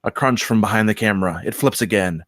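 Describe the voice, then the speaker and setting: A man reading screenplay stage directions aloud as narrator, with deliberate dramatic emphasis. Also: on the low side, a bit bright, no room sound, clean studio sound.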